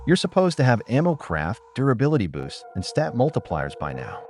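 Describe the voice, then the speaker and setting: A man voicing a sharp-tongued, mocking companion who talks in a player's ear in a game audiobook, snappy and taunting. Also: medium pitch, wildly swooping pitch, a little fast, a little hiss or hum. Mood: sharp, alarmed.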